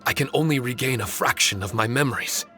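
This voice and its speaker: Guy voicing a forgetful earnest spirit construct, normal pitch, game music under it. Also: quick pace, bright, forceful tone, lightly acted. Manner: searching and hesitant when recalling. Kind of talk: game cutscene voice acting.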